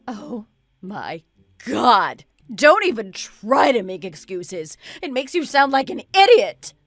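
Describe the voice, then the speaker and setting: Woman doing angry betrayed wife in story drama, highish voice, accusing and sarcastic, very dry sound. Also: very animated, takes it slowly, no echo, exaggerated voice. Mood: cold contempt. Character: betrayed wife, furious, scornful, confronting cheating husband. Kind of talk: dramatized audio story acting.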